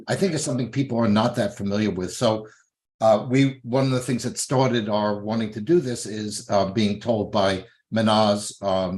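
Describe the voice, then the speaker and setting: A man co-hosting a podcast, setting up a question with halting phrasing and many fillers. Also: fairly low pitch, poor audio. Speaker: podcast co-host. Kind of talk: posing a question.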